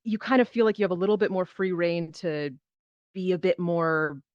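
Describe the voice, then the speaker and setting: A woman's voice: fairly low pitch, moderate pace, no room sound, dull audio. A woman performer talking casually on a panel, acting out her thoughts as she tells stories, through a VR headset microphone. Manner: animated storytelling, acts out quoted thoughts. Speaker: panelist, immersive theater performer.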